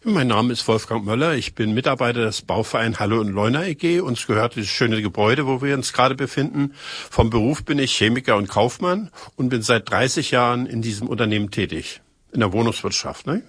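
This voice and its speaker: An older man speaks in an interview at a medium pitch. His voice is rough and husky, and it is recorded very dry. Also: brisk, a bit bright, dry recording. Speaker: housing company employee, chemist by training. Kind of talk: interview answers, recounting.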